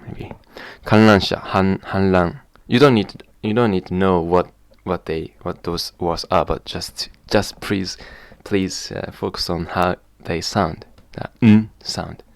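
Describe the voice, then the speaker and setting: A man with a Japanese accent, explaining in a hesitant, halting vlog way and slowly sounding out example words. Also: sits low, fairly even intonation, gravelly, no room sound. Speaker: vlogger. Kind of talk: casual spoken explanation to camera.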